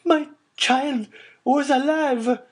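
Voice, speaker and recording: A man's voice: very high-pitched, steady tone, drawn-out words, pitched above the performer's own voice, exaggerated voice. A man voicing a distraught aristocratic woman, pleading and close to sobbing. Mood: anguished.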